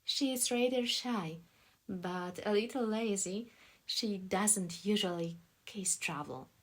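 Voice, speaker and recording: A woman's voice: medium pitch, takes it slowly, fairly bright tone, slightly rough. A woman voicing an emphatic, slightly defensive woman answering questions in an audiobook. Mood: hesitant, recalling.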